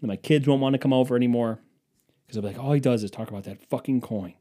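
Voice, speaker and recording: A man's voice: mid-range voice, fast, dark tone. A man in his twenties telling a long, wandering story to his phone camera in a museum, profane and self-mocking. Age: 20s. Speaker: vlogger. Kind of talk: rambling casual storytelling. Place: museum exhibit, indoors.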